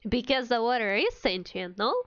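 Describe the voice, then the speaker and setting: A woman's voice: on the high side, normal speed, fairly bright tone, lo-fi sound, faint music behind. A woman livestreamer chatting casually with viewers in a playful, mock-indignant, giggly manner. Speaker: streamer, VTuber.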